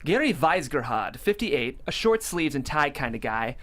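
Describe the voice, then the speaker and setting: A man's voice: fairly high pitch, sing-song, normal speed, a bit bright. A man speaking enthusiastically as host of a table read in a studio, introducing and reading stage directions.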